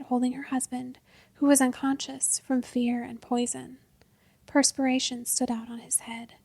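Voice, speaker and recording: Hushed woman narrating a storybook. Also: a little high, dry recording. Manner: hushed, often dropping to a breathy whisper.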